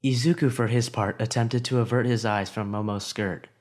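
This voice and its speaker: A man narrating a story in an expressive, scripted storytelling way. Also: mid-range voice, normal speed.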